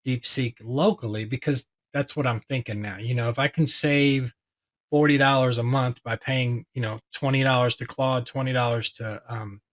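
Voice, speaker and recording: A man with a hoarse voice talking casually and ramblingly as he explains a tech topic to his viewers, with many fillers. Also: medium pitch, fairly even intonation, normal speed. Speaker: tech video host.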